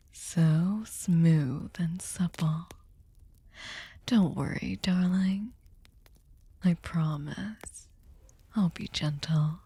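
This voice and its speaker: Woman doing seductive vampire mistress for asmr, soft dark breathy voice thats often whispery, possessive and bossy, super close dry mic. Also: fairly low pitch, no room sound, heavily acted. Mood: predatory, seductive. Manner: slow, deliberate, commanding, condescendingly tender. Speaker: ASMR voice performer. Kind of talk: ASMR roleplay, slow intimate monologue. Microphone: close studio microphone, dry.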